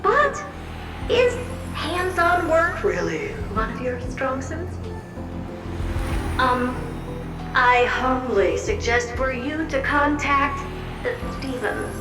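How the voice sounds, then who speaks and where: A fawning floating puppet from a video game, high-pitched and theatrical, her voice swooping up and down as she narrates. She sounds roomy and set back from the mic, with music playing behind. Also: slow, pauses often, far from the mic, exaggerated voice. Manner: theatrical, flattering, playful storyteller.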